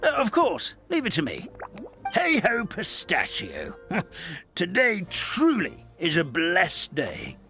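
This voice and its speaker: A man's voice: sits high, wildly swooping pitch, takes it slowly, bright, forceful tone, exaggerated voice. A man voicing a jolly, reverent old grandpa creature of a storybook forest in a video game, in a theatrical way. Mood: cheerful. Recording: music behind the voice.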